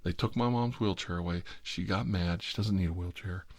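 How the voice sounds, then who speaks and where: A man's voice: low-pitched, brisk, no room sound. A middle-aged American man telling a rambling story in ASMR style, with a wry, sarcastic, exasperated manner.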